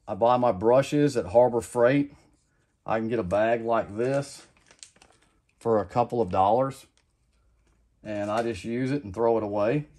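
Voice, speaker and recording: Easygoing middle-aged guy talking through a hobby craft at his bench, mellow voice, keeps saying "like i said". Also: medium pitch, a little slow, long pauses. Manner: rambling, repeats "like I said", relaxed and plain-spoken. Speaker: custom lure maker. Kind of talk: casual how-to demonstration. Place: home workbench, indoors.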